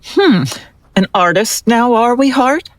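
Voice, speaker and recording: Casting: actress as skeptical audio-drama forensic analyst, sardonic and exacting, with widely varied intonation. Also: female voice, on the high side, takes it slowly, no echo. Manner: deadpan, sardonic.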